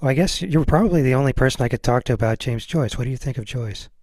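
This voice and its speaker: A man's voice: medium pitch, quick pace, a little mellow, dry recording, clean studio sound. A man hosting a casual interview, phrasing his questions and remarks in a tentative, hesitant way. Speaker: podcast host.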